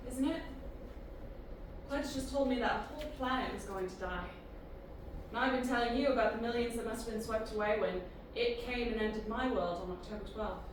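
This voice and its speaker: A woman's voice: on the high side, distant mic, close to an everyday voice. An actress playing a curious, wry young woman from Earth in an audio drama, with a dry, informal, inquisitive manner. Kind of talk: audio drama acting with first-person narration. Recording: very echoey, off-mic, clearly noisy.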